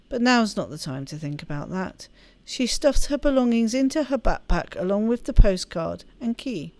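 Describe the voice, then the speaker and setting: A woman reading a story aloud as expressive narration for a game playthrough. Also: medium pitch, no echo. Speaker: let's play host reading game text aloud.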